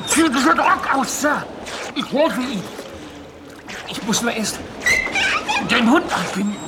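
An actor playing a dutiful, anxious young naval man, deferential and halting, in a radio play. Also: very high-pitched, expressive. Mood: anxious, urgent. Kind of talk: German radio play acting. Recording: very noisy outdoor radio drama scene.

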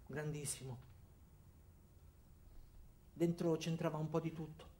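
An actor playing Alfredo, an ordinary weary man confiding his story haltingly in a theatrical radio monologue. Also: a little high, mellow, lightly acted. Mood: bleak. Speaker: monologue narrator. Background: music.

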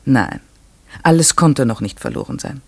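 Troubled first-person narrator, radio play, mid-pitched, measured, literary, pensive. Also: male voice, very animated, gravelly, slightly rough, heavily acted. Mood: uneasy, pondering. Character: troubled, reflective male narrator, a village lodger.

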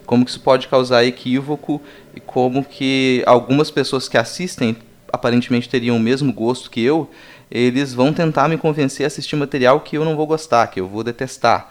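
A man's voice: medium pitch, fairly even intonation, fairly quick. A Brazilian man speaking as a podcast panelist in a casual discussion, reflective and articulate, explaining at length.

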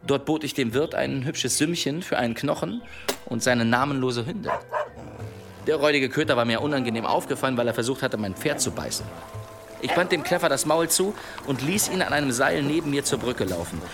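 Male actor for a polite, crafty traveller spinning a tale; expressive medium-pitched voice over prominent music, radio play. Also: lightly acted. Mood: sly storytelling. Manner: polite, unhurried storytelling. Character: courteous male traveller telling a sly tale. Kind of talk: German radio play acting. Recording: music under the voice.

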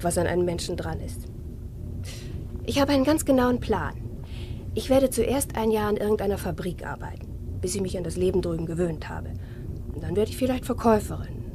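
A woman's voice: medium pitch, moderate pace, long pauses, warm tone, natural voice. An actress playing a tough, hopeful eighteen-year-old emigrant girl in a German radio drama, earnest, determined and confiding. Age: young adult. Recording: noisy 1950s radio recording.

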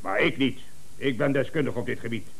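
An actor in a radio comedy playing a pompous, domineering company director, speaking with emphatic bluster. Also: male voice, mid-range voice, brisk, vocal fry. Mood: self-satisfied, commanding.

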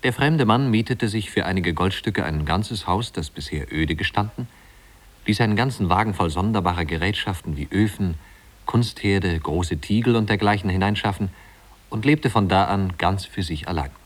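Brief: male narrator, very low voice, wry and leisurely, looking back on small-town youth in a vintage radio play. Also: normal speed. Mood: wry, reminiscing. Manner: leisurely, wry, conversational storytelling. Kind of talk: 1950s German radio drama narration.

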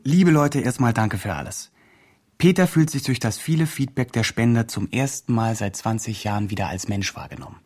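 Male actor as earnest fundraiser, radio play narration; mid-pitched, husky voice, formal report-like delivery. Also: normal speed, a little mellow, close to an everyday voice. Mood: earnest, upbeat. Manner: formal, report-like project jargon. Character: earnest fundraising project organizer, a man reporting progress. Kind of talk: radio drama, scripted narration.

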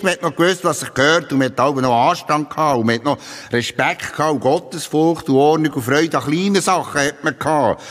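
A man with a Swiss German accent playing a grandiloquent literary speechmaker who declaims a mock-solemn eulogy with drawn-out emphasis. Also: on the high side. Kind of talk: theatrical satirical eulogy. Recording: long reverberant hall.

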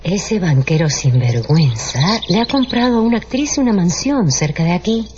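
Woman as a gossipy, animated country matron. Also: lowish voice, normal speed, noisy background, close to an everyday voice. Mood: scandalized, gossiping. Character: gossipy, scandalized middle-aged country lady, doting mother. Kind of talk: radio drama acting. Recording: muffled radio production with loud music.

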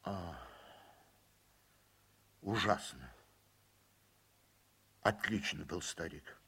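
A man acting in a radio play as an earnest, deferential judge, speaking in a deliberate and guarded way. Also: deep voice, lively intonation, creaky, bright, forceful tone, breathy edge, heavily acted. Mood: subdued. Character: earnest, deferential male judge with political ambitions.

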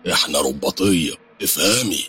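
A man's voice: sits low, animated, fairly quick, sharp tone, breathy edge, exaggerated voice. A man voicing a smug, menacing thug leader who mocks in Egyptian Arabic. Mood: mocking menace. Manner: mocking, menacing.

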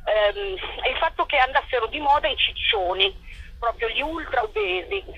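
A woman answering interview questions as a playwright and performer, in a colloquial, animated storytelling way. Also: sits high, moderate pace, vocal fry, no echo, muffled recording.

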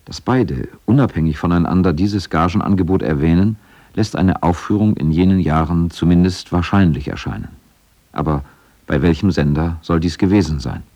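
A male documentary narrator reads a scripted radio feature in a low, warm voice. His is measured and factual, with a relaxed, dark timbre.

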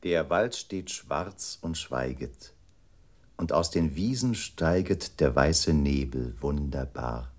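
A man voicing a computer unit in a radio play, reciting poetry with expressive declamation. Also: very deep voice, slow pace, exaggerated voice. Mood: lyrical.

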